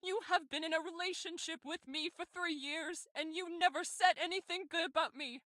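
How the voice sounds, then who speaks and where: A woman's voice: extremely high pitch, normal speed, sharp tone, dry recording, clean studio sound. A woman voicing an unhappy, reproachful young wife in a visual novel, with stilted, plaintive delivery. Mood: reproachful, distraught. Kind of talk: scripted visual novel dialogue.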